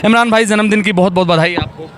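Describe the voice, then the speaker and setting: A man's voice: sits high, quick pace, fairly bright tone, slight background noise. A man working as a reporter, putting questions in a field interview.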